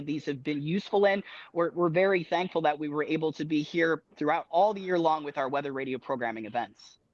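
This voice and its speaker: A man's voice: on the high side, no echo. A man giving a live TV report from the field, heard over a lo-fi remote feed.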